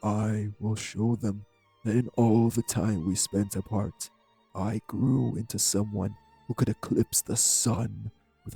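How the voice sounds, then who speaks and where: A man playing an arrogant, obsessive vampire villain in an audio drama, with a dark, raspy voice and grandiose, measured menace. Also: lowish voice, exaggerated voice. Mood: obsessive, haughty. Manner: grandiose, formal, measured threats. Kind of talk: dramatic audio roleplay acting.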